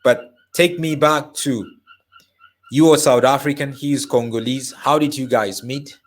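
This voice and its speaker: A man's voice: mid-range voice, fairly even intonation, unhurried. A male interviewer who asks sympathetic questions and rephrases them several times as he goes.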